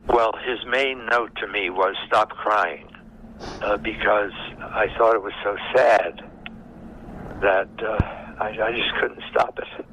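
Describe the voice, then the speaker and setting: An older man, a former actor, reminiscing in a halting, plain-spoken interview. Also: on the low side, fairly even intonation, pushed and bright. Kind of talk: unscripted reminiscence. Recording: dull, lo-fi, faintly noisy interview.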